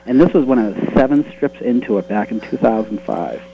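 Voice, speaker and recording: A man's voice: medium pitch, moderate pace, music in the background. A man with a Boston accent, a webcomic creator being interviewed, talking earnestly with many restarts. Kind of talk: casual interview talk. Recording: tinny phone-line sound.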